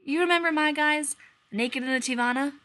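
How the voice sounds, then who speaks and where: A man's voice: very high-pitched, normal speed, sharp tone, pitched above the performer's own voice. Woman reading as a drawling, dry colonel. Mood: wry. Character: laid-back male air force colonel, drawling and dry.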